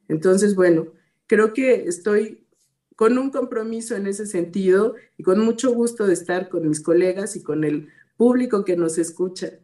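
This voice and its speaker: A woman with a mid-pitched voice talks conversationally as a panel guest. Her voice is hoarse, still coming back after being lost. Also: unhurried, dark tone.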